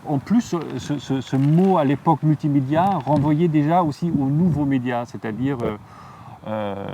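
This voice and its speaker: A man's voice: medium pitch, dark tone, recorded from a distance, a little hiss or hum. A middle-aged man from southern Germany, a curator giving reflective interview answers, often hesitating and restarting. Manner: hesitant, many fillers and restarts. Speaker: artist and biennial curator.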